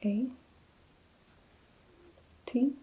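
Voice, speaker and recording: A woman playing a patient in soft-spoken ASMR role-play, giving quiet, brief answers. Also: drawn-out words.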